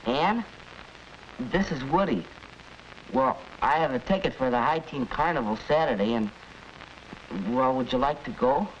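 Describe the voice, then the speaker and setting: A man's voice: medium pitch, measured pace, pauses often, close to an everyday voice. A young male actor playing an eager, nervous, hesitant teenage boy in a 1940s educational film. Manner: hesitant, stammering. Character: eager, nervous teenage boy facing his first date. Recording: muffled, noisy 1940s film soundtrack.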